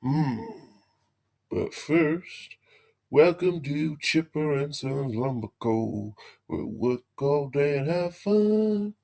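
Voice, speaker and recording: Man playing a slow-talking folksy beaver showman dad. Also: a little high, lively intonation, vocal fry, a little mellow, heavily acted. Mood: jolly, brisk. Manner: folksy, hammy stage delivery. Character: folksy older male animatronic beaver showman, cheerily macabre father. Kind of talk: audio drama acting, stage show patter.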